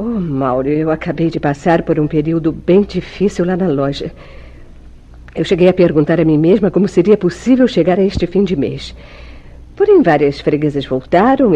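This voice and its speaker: An actress playing a secretive young wife in an old-fashioned Brazilian radio soap, with emotive, formal diction and a Brazilian accent. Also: female voice, low voice, warm tone, dull audio. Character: secretive young wife, tender, anxious, sweetly deceitful.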